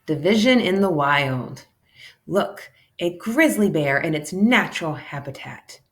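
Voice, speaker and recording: A woman giving an animated, deliberate read-aloud of a children's educational storybook, shifting between character lines. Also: deep voice. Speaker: teacher reading a children's math book aloud.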